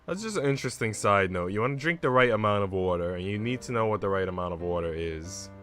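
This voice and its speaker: A man's voice: lowish voice, expressive, fast talker, a bit bright, faint music behind. A man chatting informally and casually as he livestreams a game. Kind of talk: casual gaming stream chat and reading a visual novel aloud.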